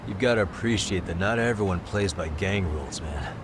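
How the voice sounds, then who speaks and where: An actor playing a wry, level-headed detective in a video game dub, speaking in a dry, conversational way. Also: male voice, deep voice, lively intonation, fairly quick, background noise. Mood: earnest. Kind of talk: English-dub video game cutscene acting. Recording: music behind the voice.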